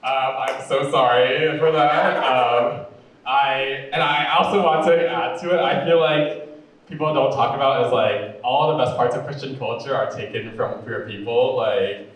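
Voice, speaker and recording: A male stand-up comedian with a deep voice and a sweet, fruity cadence, telling jokes in a campy, chatty way full of "like" and "you know". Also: steady tone, measured pace, fairly bright tone, recorded from a distance. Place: comedy club stage. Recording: very echoey room, voice off-mic.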